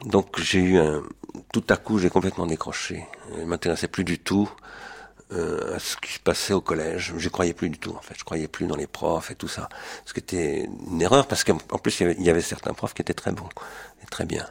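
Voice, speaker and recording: A man's voice: low-pitched, steady tone, fairly quick, gravelly, slightly rough. An older man from France recalling his own youth in an interview, hesitant and often searching for words and correcting himself. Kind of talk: autobiographical recollection in an interview.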